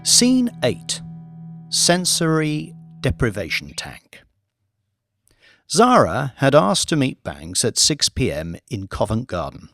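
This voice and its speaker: A man's voice: mid-range voice, wildly swooping pitch, slow, a bit bright, no room sound, faint music behind. A man reading dramatic scripted audiobook narration into a close mic.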